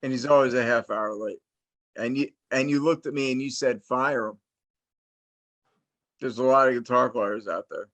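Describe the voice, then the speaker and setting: A man's voice: medium pitch, steady tone, normal speed, pauses often, a bit bright, no echo. A middle-aged man from New Jersey hosting a casual interview, telling long stories with many restarts and fillers. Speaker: host, interviewer.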